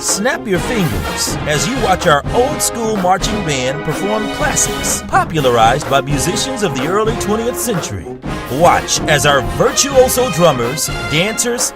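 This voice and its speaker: A man's voice: high-pitched, sing-song, unhurried. A man reading a scripted promotional voice-over in a showy announcer manner. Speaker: promo narrator. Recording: voice-over over loud music.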